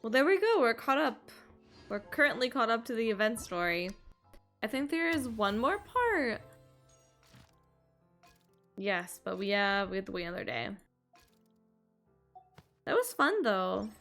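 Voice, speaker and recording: Chatty, creaky-voiced woman streamer. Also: fairly high pitch, big pitch swings, unhurried, pauses often, fairly bright tone, faint music behind. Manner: informal, chatty, rambling. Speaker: livestreamer. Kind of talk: casual gaming stream commentary.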